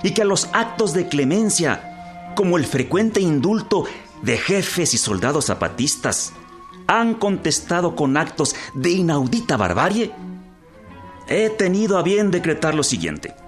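An actor playing a stern government authority with a Mexican accent, formally declaiming a harsh decree in a radio docudrama. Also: male voice, fairly high pitch, big pitch swings, measured pace, soft background music. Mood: stern, condemning.

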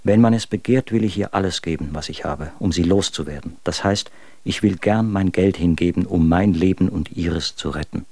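Bitter husband in a radio play, deep voice, dry close sound, sneering at his wife. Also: moderate pace, dark tone, no room sound. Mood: cold disgust. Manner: literary, scornful. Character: bitter, contemptuous husband writing letters about his wife. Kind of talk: dramatic reading of old letters in a radio play.

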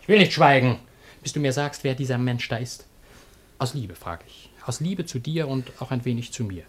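A man's voice: medium pitch, moderate pace, slight background noise, natural voice. Casting: male actor for impudent, loyal servant of antiquity, mid-range voice, blunt mockery, radio play. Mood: cheeky, mocking. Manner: mocking, insolent, blunt. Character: cheeky, coarse, loyal servant of ancient Athens. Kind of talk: classic German radio play acting.

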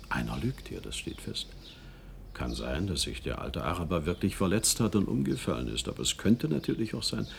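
A nosy, suspicious gossip in a radio drama. The voice sits very low and gravelly, swinging animatedly as he probes and insinuates. Faint birdsong sounds behind him. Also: slight background noise. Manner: probing, insinuating, emphatic. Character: inquisitive gossipy man, insistent and suspicious. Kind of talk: German radio play acting.

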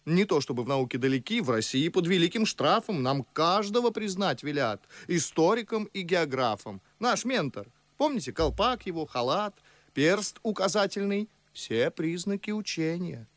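An actor playing a witty, talkative, mocking young gentleman in an ardent, ironic verse radio drama. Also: on the high side, sing-song, normal speed, no echo. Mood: ironic, lively. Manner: ardent, ironic, theatrical declamation. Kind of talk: Russian classical verse radio drama acting.

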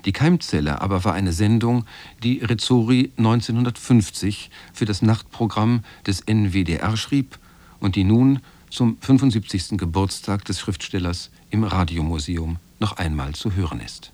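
Male announcer, fairly low pitch, scripted radio presentation, measured formal wording. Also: a little mellow. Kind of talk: scripted broadcast introduction.

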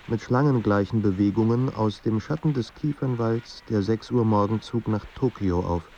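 An actor playing a somber, measured literary narrator in a German radio play. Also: male voice, on the low side, gravelly, warm tone. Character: somber male literary narrator of a troubled writer's life. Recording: dull sound with faint background noise.

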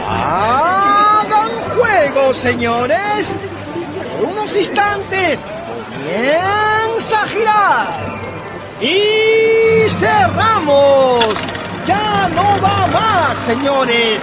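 A showy casino croupier for a radio drama. His voice is very high and swings widely as he stretches out his calls to the gamblers. Loud music and a crowd surround him. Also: sing-song, exaggerated voice. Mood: showy, urging. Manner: theatrical drawn-out calls. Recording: music and busy crowd behind the voice.

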